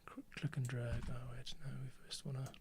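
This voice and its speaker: A man's voice: medium pitch, little pitch movement, brisk, very noisy. A man talking casually to himself while he edits a podcast, often dropping to a half-whisper. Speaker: podcast editor. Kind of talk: casual screen-recording commentary while editing. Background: music playing and keyboard typing.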